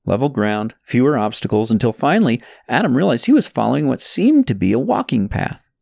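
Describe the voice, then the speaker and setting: A man's voice: mid-range voice, very animated, fairly quick, creaky, darkish tone, dry recording. Man narrating an audiobook in a dry-sounding space. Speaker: audiobook narrator reading own novel. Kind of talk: scripted narration of a parable novel.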